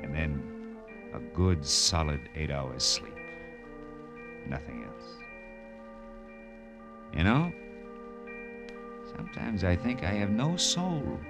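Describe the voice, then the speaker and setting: A man's voice: extremely low pitch, sing-song, slow pace, vocal fry, long pauses, full character voice. A man playing a wry, world-weary American private detective in 1940s radio noir style, narrating in tough slang. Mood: weary musing. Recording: vintage radio recording with music.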